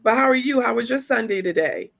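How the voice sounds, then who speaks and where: A woman's voice: on the high side, quick pace, vocal fry. Chatty congested woman hosting a podcast. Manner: chatty, animated storytelling with laughs. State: congested with flu. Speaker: podcast host. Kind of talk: casual podcast chat.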